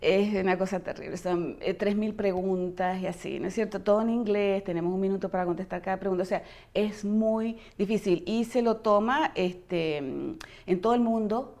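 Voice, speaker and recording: A middle-aged woman with an Argentine accent from outside Buenos Aires, chatting as a guest physician on an interview show. She wanders through her answers with plenty of fillers and false starts, in a voice of ordinary pitch for a woman. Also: normal speed, a little mellow. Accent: Argentine, non-Buenos Aires. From Argentina, near Chile. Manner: rambling, many fillers and restarts. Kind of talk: casual interview chat.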